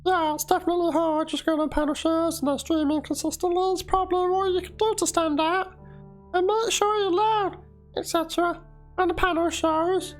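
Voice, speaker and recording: A woman with an extremely high-pitched voice reads out written chat replies in a dramatic reading. Faint instrumental music runs behind her. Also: steady tone, moderate pace. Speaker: reader of one side of a chat exchange. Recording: music under the voice. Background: instrumental background music.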